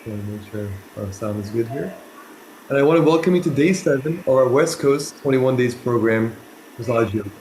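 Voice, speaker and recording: A young man from San Diego hosts an online meditation class over a video call. He talks in a relaxed, friendly way and fills his pauses with uh and um. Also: medium pitch, a little hiss or hum, low-quality recording. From San Diego, California. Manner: relaxed, friendly, with many fillers like uh and um. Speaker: host of an online meditation program. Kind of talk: casual spoken introduction to a meditation session.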